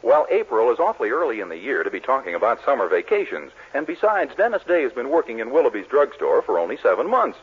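A man's voice: on the high side, breathy edge. A man announcing a 1940s radio show with polished, declamatory scripted delivery. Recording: tinny 1940s radio broadcast.

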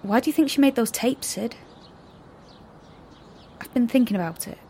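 An actress playing a sarcastic, anxious young rebel in a dystopian audio drama, expressive and easily exasperated. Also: female voice, on the high side, moderate pace, a little hiss or hum, close to an everyday voice. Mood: uneasy, probing. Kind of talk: audio drama acting with first-person narration.

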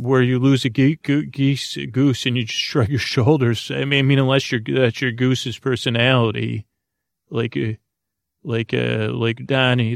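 A man speaks in a creaky, fry-laden voice with very little room sound around it. He rambles like a bedtime podcast host, hesitating, restarting phrases and wandering into digressions. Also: mid-range voice, no echo, clean studio sound. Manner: halting, hesitant, digressive, with frequent false starts and repetitions. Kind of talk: rambling, meandering bedtime monologue.

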